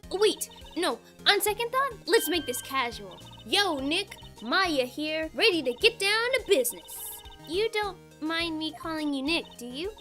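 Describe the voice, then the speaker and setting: Woman acting a perky, very high-pitched girl. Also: big pitch swings, unhurried, few pauses, sharp tone, faint music behind, full character voice. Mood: eager, playful. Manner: bubbly, cheeky. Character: perky, teasing, bossy teenage girl sidekick. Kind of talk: fandub voice acting of a visual novel game.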